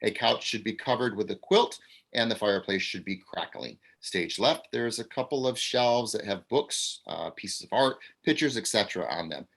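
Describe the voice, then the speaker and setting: A man's voice: fairly low pitch, animated, moderate pace, creaky, a bit bright. A man reading stage directions aloud over a video call, with frequent uhs. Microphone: video call mic.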